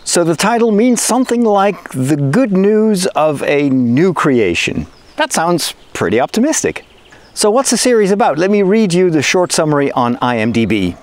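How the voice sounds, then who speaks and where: Animated Dutch priest talking to camera. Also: male voice, moderate pace. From Netherlands. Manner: chatty and enthusiastic, lively pitch swings. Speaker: priest and video host. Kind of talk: casual explainer to camera. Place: outdoors in a forest.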